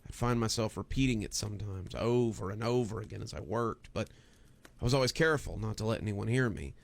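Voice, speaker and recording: A man's voice: on the low side, brisk, gravelly. A man reading a scripted first-person horror story as its narrator.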